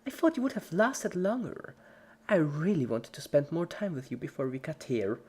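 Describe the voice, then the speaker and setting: Someone doing a giggly girl party pony, high swoopy voice, jokey and creepy cheerful, horror fanfic. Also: big pitch swings, moderate pace, a little mellow, husky. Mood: mock disappointed. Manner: chirpy, jokey, playful while menacing.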